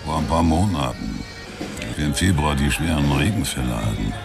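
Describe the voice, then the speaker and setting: An actor playing a shady, chatty boxing fan who gives tips, gravelly, in a radio drama. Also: male voice, rumbling low, animated, normal speed, full character voice. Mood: sly, confiding. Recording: loud music behind the voice.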